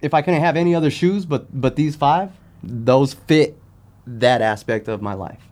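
A man with an American accent speaks at an average pitch. He chats casually as a podcast guest, laid-back and conversational. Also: normal speed, darkish tone. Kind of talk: casual chat about sneakers.

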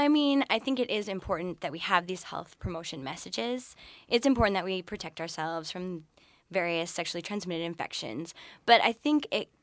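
A woman's voice: on the low side, no echo. A woman speaking as an interview guest, explaining her views in a thoughtful, conversational way. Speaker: interview guest, sexuality educator and researcher.

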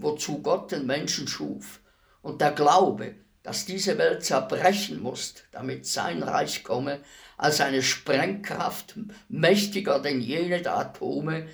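Guy playing a polite solemn executioner, radio drama, creaky voice, takes his time, grave and deferential. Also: mid-range voice. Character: polite, grave male executioner, philosophical and calm. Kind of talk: literary radio play acting.

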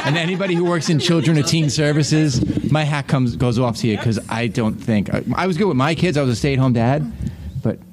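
A man's voice: a little high, normal speed, noisy background. A middle-aged man hosting a casual panel conversation, speaking in a chatty, rambling, anecdotal way. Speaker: podcast host.

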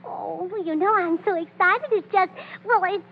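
Scatterbrained, very high-voiced young wife. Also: female voice, moderate pace. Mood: flustered, eager. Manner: fluttery, stammering, exclamatory. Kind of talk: 1930s radio comedy acting. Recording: muffled, hissy 1930s radio broadcast.

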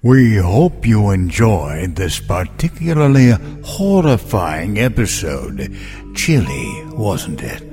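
A man voicing a gloating, theatrical horror show host with a drawn-out, menacing delivery. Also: fairly low pitch, wildly swooping pitch, darkish tone, long reverb tail, full character voice. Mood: gloating, sinister. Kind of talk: scripted horror host outro. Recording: music under the voice.

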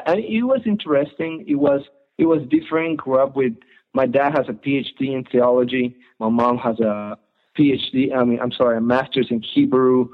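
A young man from Ecuador, a guest in a casual interview who often restarts his phrases. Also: medium pitch. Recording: tinny phone-like line.

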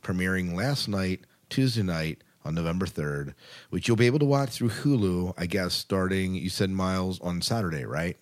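A man's voice: low voice, lively intonation, normal speed. A man hosting a podcast in a casual, informal, rambling way. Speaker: podcast host. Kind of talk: casual sci-fi podcast talk.

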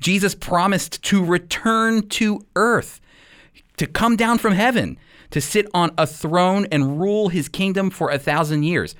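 A man hosting a radio program as a Bible teacher, speaking with deliberate, emphatic phrasing. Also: high voice, fairly bright tone.

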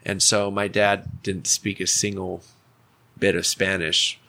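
A man talks about his life in an informal interview. His voice is low and bright-edged, with noticeable vocal fry. He goes haltingly, searching for the right words. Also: level tone. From United States, raised partly in Mexico City. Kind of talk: casual personal storytelling.

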